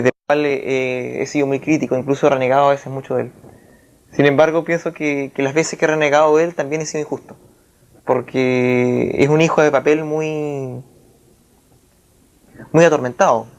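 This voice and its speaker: A man speaking as a writer in a reflective literary interview, with formal wording and a halting, searching manner. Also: on the high side, steady tone, highs cut off. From Chile.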